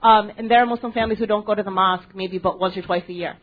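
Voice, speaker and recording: A woman's voice: medium pitch, fairly even intonation, very fast. American woman talking to an audience, tinny sound. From United States. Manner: engaging, asks audience questions, frequent ums and restarts. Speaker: panel moderator addressing a student audience. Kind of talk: public talk with audience interaction. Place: library event space. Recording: tinny phone-like recording.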